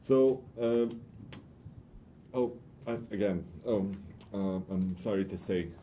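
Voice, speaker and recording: Man, stream host, low voice, mellow tone, hesitant fillers. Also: slow pace, slight background noise. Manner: hesitant, lots of uhs and restarts. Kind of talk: casual livestream news chat.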